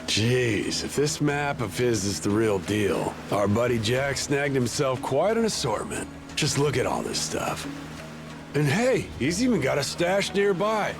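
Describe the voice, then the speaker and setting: A man's voice: mid-range voice, very animated, a little slow, over music. An actor playing an easygoing seasoned pirate crewman in a video game, talking in a folksy, musing way. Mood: wry, interested. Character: seasoned easygoing male pirate crewman with old-fashioned ideals.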